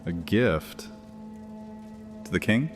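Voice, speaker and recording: Very deep, menacing vampire priest. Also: male voice, animated. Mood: probing, mocking. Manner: taunting, theatrical, deliberate. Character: menacing, mocking vampire high priest, male. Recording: long hall reverb, music underneath.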